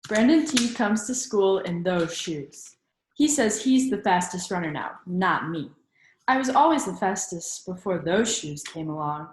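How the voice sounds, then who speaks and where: A woman's voice: fairly low pitch, expressive. Woman reading a storybook aloud, roomy echo. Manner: instructive, pausing to prompt listeners with questions. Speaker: children's read-aloud teacher. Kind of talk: storybook read-aloud with questions to young listeners.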